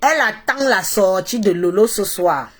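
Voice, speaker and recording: A woman's voice: mid-range voice, lively intonation, normal speed. Female live streamer, Cameroonian accent, mid-range voice congested by a cold. Casual, opinionated, mocking delivery that hammers points by repetition. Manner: mocking, opinionated, repeats phrases for emphasis. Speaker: social media live streamer.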